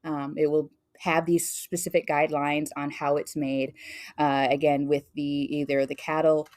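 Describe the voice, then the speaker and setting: A woman's voice: low-pitched, flat delivery, moderate pace, a little mellow. A woman co-hosting a podcast, chatting casually and rambling with many fillers and restarts. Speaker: podcast co-host. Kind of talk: casual chat tasting cheese.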